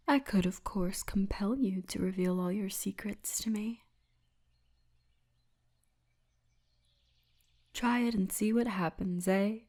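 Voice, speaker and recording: A woman's voice: mid-range voice, mellow. A woman playing a poised, seductive orc tour guide in an ASMR fantasy roleplay, teasing and often half-whispering. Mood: teasing, intimate.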